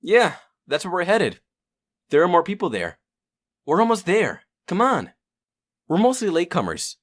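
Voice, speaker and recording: A man's voice: sits high, huge pitch swings, normal speed, full character voice. A man playing a streetwise young survivor who guides a newcomer in a dubbed comic drama. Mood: grave, explaining.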